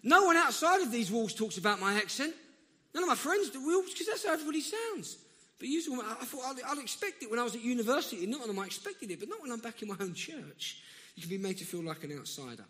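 A man's voice: very high voice, big pitch swings, fast, sharp tone. A man with a South London accent preaching a sermon in a conversational way, often restarting and breaking into emphatic bursts. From South London, England. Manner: conversational, self-interrupting, rising to emphatic bursts. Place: church hall. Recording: long church reverb.

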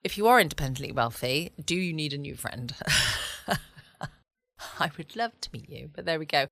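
Bright, chatty southern English woman. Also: low-pitched, animated, normal speed, gravelly, no room sound, clean studio sound. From United Kingdom. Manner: chatty, rambling, self-joking. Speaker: podcast host. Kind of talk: casual late-night chat.

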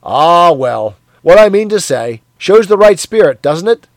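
A man voicing a hearty, sweaty, zealous middle-aged man who talks in a loud, cheery, blustering way. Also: high voice, sing-song, a little slow, no room sound, pitched above the performer's own voice, full character voice. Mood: boastful.

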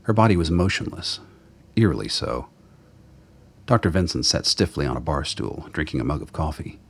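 A man's voice: lively intonation, normal speed. A man delivering scripted narration for a radio drama as its storyteller. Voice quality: very deep, husky.

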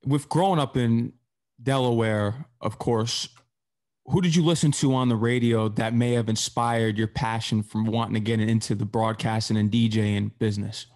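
A man's voice: medium pitch, steady tone, moderate pace, a little mellow. Man asking interview questions.

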